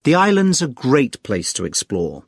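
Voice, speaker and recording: A man with a British accent playing a friendly, helpful club secretary in a clearly enunciated scripted listening-test conversation, polite and explanatory. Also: medium pitch, wildly swooping pitch, measured pace, no room sound, clean studio sound, full character voice. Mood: helpful, informative. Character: friendly, helpful male secretary of a conservation group. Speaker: actor in a listening test dialogue.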